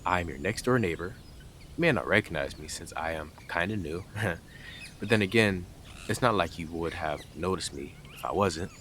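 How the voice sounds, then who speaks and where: A man acting a deep-voiced, smug yandere intruder. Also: lively intonation, moderate pace, a bit bright, slight background noise. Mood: smug, chiding. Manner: blunt, taunting, sarcastic, with dramatic emphasis and scoffs. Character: blunt, smug, obsessive young male yandere neighbour, mocking intruder. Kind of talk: scripted audio roleplay addressed to listener.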